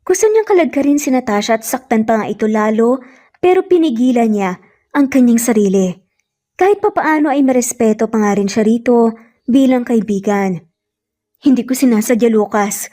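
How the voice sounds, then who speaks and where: A woman storyteller reads a scripted romance. Her pitch sits a little high, and the tone is soft and mellow rather than sharp. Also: lively intonation, normal speed.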